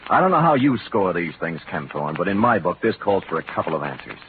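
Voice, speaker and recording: An actor playing a wry, tough private detective in 1940s radio noir style, with hard-boiled, wisecracking delivery. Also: male voice, low-pitched, very animated, quick pace, noisy background. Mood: probing, tough. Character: wry, tough male private detective narrating his case. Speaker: lead actor and narrator. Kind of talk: 1940s radio noir acting, first-person narration. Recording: tinny old radio broadcast with music.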